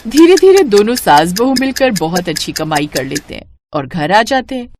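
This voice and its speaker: A woman narrating a scripted moral story in an expressive storytelling manner. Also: mid-range voice, moderate pace, slight background noise.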